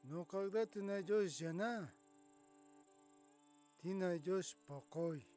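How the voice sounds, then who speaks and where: An actor playing a calm Tuvan herder and captive, speaking Russian with a Tuvan accent in sparse, broken phrases of folk wisdom. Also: male voice, sits high, slow, faint music behind. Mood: measured, solemn. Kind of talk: naturalistic film acting.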